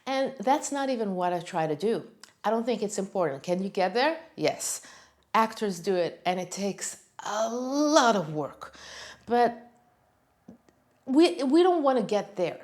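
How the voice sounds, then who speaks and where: A woman with an Israeli accent teaches in a casual, upbeat way. Her voice sits at a mid pitch and jumps up on the words she stresses. Hebrew is her first language. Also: measured pace. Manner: upbeat, emphatic, talks with lively pitch jumps on key words. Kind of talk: casual video lesson.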